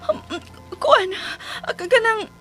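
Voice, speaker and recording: A woman playing a timid, anxious young woman in a radio drama, with halting, stammering, sighing speech. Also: very high-pitched, lively intonation, a bit bright, soft background music, heavily acted. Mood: nervous, tearful.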